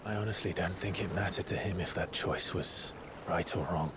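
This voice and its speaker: An actor playing a grave, resolute outlaw in a video game, speaking in a measured, solemn way. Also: male voice, sits low, background noise, close to an everyday voice. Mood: solemn, reflective. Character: grave, resolute outlaw man carrying a heavy burden. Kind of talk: dramatic video game acting.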